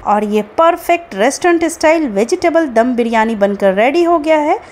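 A woman's voice: high voice, expressive, normal speed, darkish tone. A woman narrating a recipe step by step, explaining each stage to viewers as a cooking host. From India. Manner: explanatory, addresses viewers as friends.

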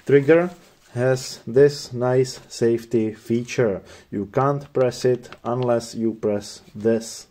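A man's voice: mid-range voice, steady tone, slow pace, mellow. A man narrating a scripted product review in a matter-of-fact way.

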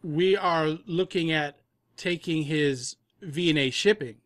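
Higher-voiced man, dry close recording. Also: slow pace, a bit bright, no echo. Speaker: audio drama producer, podcast guest. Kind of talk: casual interview chat.

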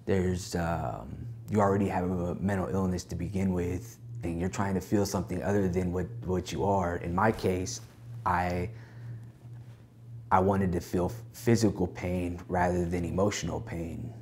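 A young man speaking haltingly on camera in a prison office, wearing a lapel mic. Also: low voice, fairly even intonation, a little mellow, slight background noise. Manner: halting, searching. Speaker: incarcerated interviewee.

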